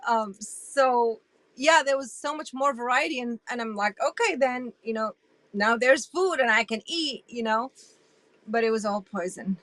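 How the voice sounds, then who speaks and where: A woman in her sixties, originally from Iraq, telling her story as an interview guest in an animated, emphatic way. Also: a little high, normal speed, no room sound, poor audio. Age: 60s. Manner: animated and emphatic, with frequent restarts.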